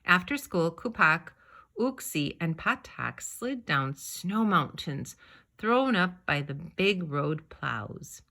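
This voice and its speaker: A woman reading a children's story aloud, narrating expressively in deliberate, measured phrases. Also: fairly low pitch, sing-song, gravelly, sharp tone. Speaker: storybook reader.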